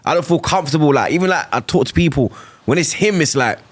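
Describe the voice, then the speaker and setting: A man hosting a casual podcast, chatting in quick street slang and jumping in often. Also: a little high. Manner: fast, slangy, animated interjections. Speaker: podcast host.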